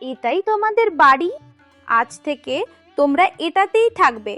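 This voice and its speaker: Woman playing a gentle magical fairy, high-pitched, sweet, reassuring, cartoon, soft music underneath. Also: very animated, moderate pace, dry recording. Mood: grateful, generous. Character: kindly young magical fairy, grateful and gentle. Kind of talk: cartoon moral story acting.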